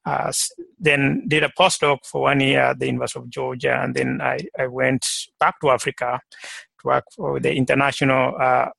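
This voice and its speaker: A middle-aged man from Kenya, guest on a podcast, works through his career story in a halting way, full of fillers and restarts. His voice is strongly gravelly and husky, with a flat, level pitch. The sound is dry and close. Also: dry recording. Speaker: guest, plant pathologist. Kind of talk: conversational interview.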